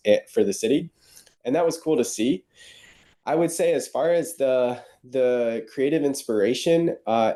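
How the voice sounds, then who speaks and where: A man's voice: lowish voice, dark tone. A man who grew up in Ukraine, a novelist guest on a podcast, telling stories with enthusiasm amid frequent fillers and restarts. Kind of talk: casual interview.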